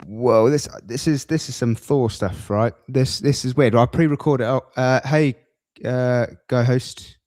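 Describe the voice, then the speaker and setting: A man's voice: medium pitch, measured pace, a little mellow, no echo. A man hosting a podcast in a casual, rambling way, often breaking off into asides. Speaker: podcast host. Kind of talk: casual reaction chat.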